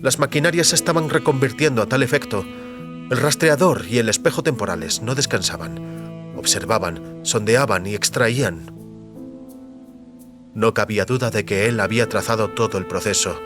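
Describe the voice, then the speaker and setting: Un hombre narra con guion un relato dramático de ciencia ficción. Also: male voice, on the low side, normal speed, music in the background. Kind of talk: scripted dramatic story narration.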